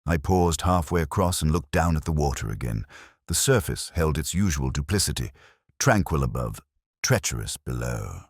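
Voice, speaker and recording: Male actor as melancholy detective narrator, very low voice, lively intonation, measured literary delivery, close dry sound, audio drama. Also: husky, dry recording, full character voice. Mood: pensive. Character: melancholy, reflective older male police detective narrating his case. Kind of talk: scripted first-person audio drama narration.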